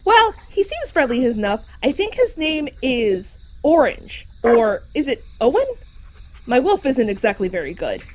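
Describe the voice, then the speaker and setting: A woman's voice: fairly high pitch, big pitch swings, a little slow. A woman voicing an easygoing shapeshifting dog creature who explains things matter-of-factly in an audio drama. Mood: helpful, unbothered. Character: shapeshifting dog creature, easygoing, helpful park navigator and translator. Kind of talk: fiction podcast audio drama acting.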